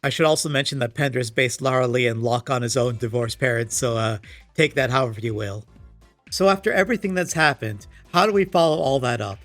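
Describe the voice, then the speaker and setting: A man's voice: medium pitch, brisk, fairly bright tone. A man delivering scripted comedic commentary as a host, in a sarcastic, exasperated, casual manner with some swearing. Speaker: video essayist and host. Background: background music.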